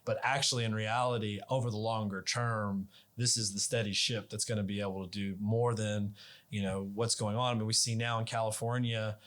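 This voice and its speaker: A man's voice: lowish voice, steady tone, brisk, a bit bright. A man hosting a conversational interview in an agreeable, affirming way. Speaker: podcast host.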